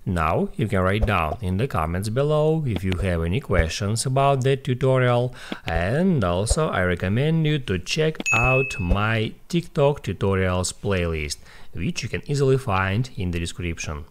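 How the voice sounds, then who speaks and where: Male tutorial host, low voice, very varied intonation, unhurried step-by-step delivery. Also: lively intonation, noisy background. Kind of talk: scripted how-to tutorial narration.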